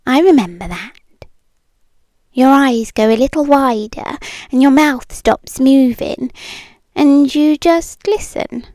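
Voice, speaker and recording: A British woman playing a possessive, eerily calm yandere ex-girlfriend in an intimate, partly whispered ASMR roleplay, cooing slowly and condescendingly with soft menace. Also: high voice, no echo. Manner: slow, deliberate, softly menacing, condescending. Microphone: close microphone, dry.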